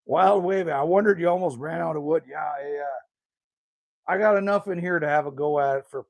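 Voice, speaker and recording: A man's voice: fairly high pitch, normal speed, creaky, no room sound. A man, chatting casually and rambling with viewers as a livestream host outdoors by a rural cabin. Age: 50s. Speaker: livestream host reading chat.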